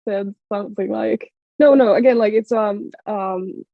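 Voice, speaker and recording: A woman from Finland with a strong Finnish accent, telling a story as a podcast guest, excitable, laughing often and full of fillers and restarts. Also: medium pitch, measured pace, vocal fry, dry recording. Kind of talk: casual storytelling chat. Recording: slightly dull lo-fi sound.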